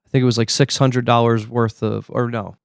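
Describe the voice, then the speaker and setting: A man's voice: medium pitch, little pitch movement, brisk, creaky, smooth, no room sound, clean studio sound. A man in his forties, a podcast co-host, chatty and eager to chime in. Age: 40s. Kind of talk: casual podcast chat.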